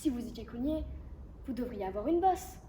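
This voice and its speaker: Woman doing stage lines, really high voice, roomy echo. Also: expressive, normal speed, warm tone. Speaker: drama student. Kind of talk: acted classical theatre recitation. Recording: echoey room, distant mic, background noise.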